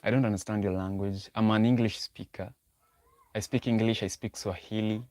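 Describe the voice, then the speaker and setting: A man's voice: on the low side, fairly even intonation, normal speed, darkish tone, dry recording. A young man hosting a livestream, talking casually about dating advice, repeating himself as he thinks aloud and answering chat. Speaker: livestream host.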